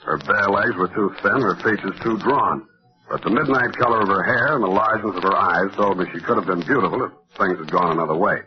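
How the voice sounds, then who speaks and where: A man's voice: deep voice, a little fast, over music, natural voice. Guy playing cocky american gumshoe, old radio show, muffled sound, glib wisecracks, wordy noir narration. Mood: wry narrating. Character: wisecracking hard-boiled male private detective, cocky and flirtatious. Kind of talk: old-time radio detective acting, dictation and narration. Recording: dull lo-fi old radio recording.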